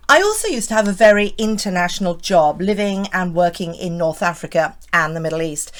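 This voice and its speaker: Hoarse woman, fifties, chatty style vlogger, lively up-and-down delivery. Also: medium pitch. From United Kingdom. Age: 50s. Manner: chatty, reassuring, often asks the viewer "isn't it". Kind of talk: friendly scripted fashion vlog.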